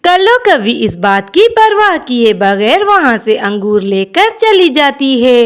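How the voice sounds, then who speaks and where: A woman's voice: high voice, big pitch swings, unhurried. A woman narrating a scripted children's story.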